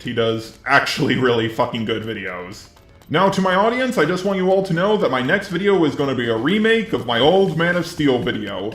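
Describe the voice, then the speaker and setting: A synthetic male robot voice hosts a scripted commentary video. It sits at a middle pitch with a noticeable roomy echo, and music plays faintly underneath.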